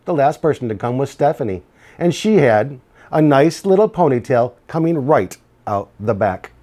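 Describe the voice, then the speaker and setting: Middle aged guy doing storytime, medium pitch, expressive, pauses for drama. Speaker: school administrator reading aloud. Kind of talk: children's storybook read-aloud. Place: small indoor office. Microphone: lapel mic.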